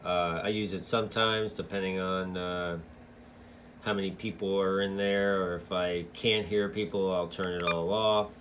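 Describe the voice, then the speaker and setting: Low-voiced man, bright edge, casual reviewer. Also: little pitch movement, normal speed, slight background noise. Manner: informal, rambling, loosely explanatory. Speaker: CB radio reviewer. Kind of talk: casual product walkthrough.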